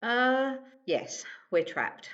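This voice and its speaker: Dull, echoey audio carries a high-pitched female alien hitchhiker, played by a woman. She is flippant and quick-witted, talking in glib, persuasive bursts, in the manner of a comic radio play. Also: sing-song, fairly bright tone, exaggerated voice. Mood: flippant, resigned. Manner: glib, persuasive, broken-up patter. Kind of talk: comic amateur radio drama acting. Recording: dull, echoey home recording.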